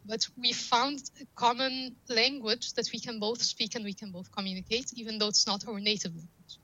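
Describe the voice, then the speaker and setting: Bright-voiced woman, native Arabic speaker. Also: a little high, fairly even intonation, moderate pace, muffled recording. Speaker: guest, data science professional. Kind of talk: explanatory interview talk.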